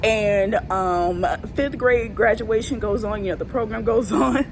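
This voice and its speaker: A woman's voice: mid-range voice, unhurried. A young woman casually telling a funny childhood story on her vlog, laughing and acting out voices. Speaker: vlogger telling personal stories. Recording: clear background noise, vehicle ambience.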